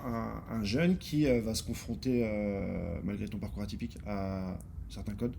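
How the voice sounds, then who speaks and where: A man's voice: on the low side, normal speed, creaky, warm tone, a little hiss or hum. A man hosting an interview in a casual, informal way, with many fillers and frequent short acknowledgments. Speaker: interview host.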